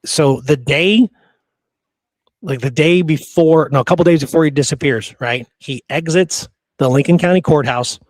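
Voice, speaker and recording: A man's voice: a little high, moderate pace, no echo. Man casually talking history, close dry sound. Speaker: history podcast host.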